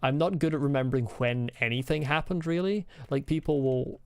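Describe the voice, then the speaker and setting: A man's voice: medium pitch, fairly quick, a little mellow, slight background noise. A man from Scotland with a mild Scottish accent, chatting loosely on a game stream and answering chat messages with rambling asides and chuckles. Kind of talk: casual live-stream chat while gaming.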